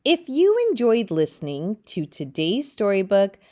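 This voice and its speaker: A woman reading a children's story aloud with theatrical, deliberate phrasing. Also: medium pitch, big pitch swings, dark tone. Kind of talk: expressive children's read-aloud narration.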